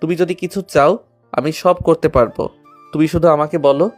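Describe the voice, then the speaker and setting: A man's voice: fairly high pitch, fairly even intonation, normal speed, close to an everyday voice. A lovestruck young man played by a male actor in an audio romance. He speaks tenderly and earnestly, always reassuring and protective, with faint music underneath. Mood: devoted, reassuring. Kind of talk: romantic Bengali audio story acting.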